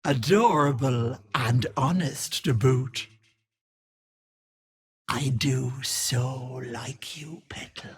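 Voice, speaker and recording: An actress voicing a wicked old hag with a raspy, cackling voice, taunting with sugary pet names. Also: female voice, extremely low pitch, very slow, pauses often, full character voice. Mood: menacing, teasing. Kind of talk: video game voice acting.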